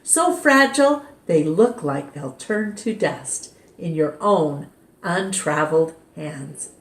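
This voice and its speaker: Lady reading a kids picture book real slow and gentle, roomy echo around her. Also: low voice. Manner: slow, gentle, expressive read-aloud. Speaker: storytime reader.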